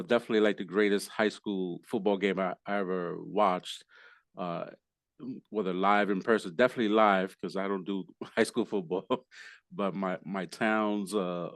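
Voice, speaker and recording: A man hosting a podcast with a hoarse voice, talking casually and rambling, with lots of fillers and restarts. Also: low voice, no echo. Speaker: podcast host.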